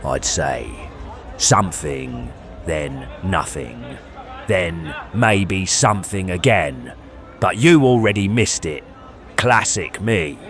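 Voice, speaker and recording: A man puts on a cryptic, aloof puppet with a deep voice and swooping intonation. The words come extremely slowly and haltingly, over faint outdoor bustle in a comedy show. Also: expressive, fairly bright tone, faint music behind, a faint crowd, full character voice. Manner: halting, enigmatic.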